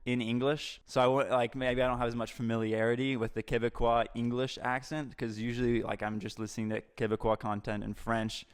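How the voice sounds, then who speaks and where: A man's voice: mid-range voice, little pitch movement, brisk, few pauses, clear, resonant tone. A man from Atlanta with a standard American accent, chatting as a podcast guest in a casual, rambling, hedging way. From Atlanta, US Southeast. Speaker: podcast guest, environmental lawyer. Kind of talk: conversational podcast interview.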